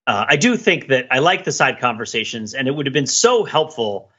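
A middle-aged man chatting casually as a podcast host, an animated storyteller who slips into imagined dialogue. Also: medium pitch, fairly quick, husky, dull audio. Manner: animated storyteller, acts out imagined dialogue, laughs while talking. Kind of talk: casual conversational podcast chat.

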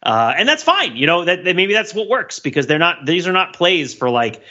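Podcast guy riffing and rambling, kinda high voice, really lively, slightly muffled audio. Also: moderate pace. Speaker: podcast host. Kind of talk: casual panel chat and commentary.

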